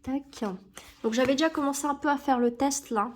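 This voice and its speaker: A woman's voice: high voice, fairly even intonation, normal speed. A young woman with a cold, chatting casually and correcting herself with many fillers as she sticks stickers into an album. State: has a cold. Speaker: YouTuber opening sticker packs.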